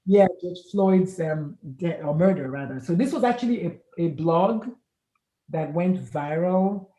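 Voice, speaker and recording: Animated woman in her fifties, roomy sound. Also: fairly low pitch, unhurried. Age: 50s. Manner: animated storyteller, acts out quoted dialogue, laughs often. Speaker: author and pediatrician guest. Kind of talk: casual interview chat.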